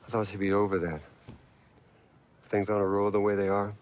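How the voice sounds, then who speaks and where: An actor playing a sheepish soldier in a video game cutscene, speaking casually and offhandedly. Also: male voice, fairly low pitch, little pitch movement, brisk, a little mellow, a little hiss or hum, lightly acted.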